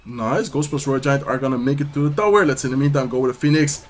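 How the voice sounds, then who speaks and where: Fast-talking upbeat young gaming commentator man. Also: mid-range voice. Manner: upbeat, talks through every move. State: losing his voice. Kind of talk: live gameplay commentary.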